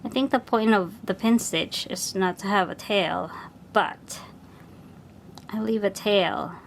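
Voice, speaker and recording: Filipino woman in her 40s, slow and chatty, hobby vlogger muttering while she stitches. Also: medium pitch, creaky, a little hiss or hum. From Philippines. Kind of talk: casual stitch-along chat.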